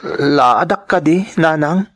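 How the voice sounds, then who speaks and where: A man's voice: fairly high pitch, takes it slowly, fairly bright tone. A man playing an eager, bashful young farm lad in a radio-style drama, hesitant and protesting, with an Ilocano accent. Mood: dejected.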